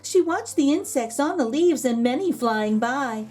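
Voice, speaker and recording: A woman narrates a picture book for children in a high, soft-toned mellow voice. She reads at a slow, measured pace with expressive, rhythmic phrasing. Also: soft background music. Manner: measured rhyming delivery with gentle asides to children. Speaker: children's read-aloud storyteller.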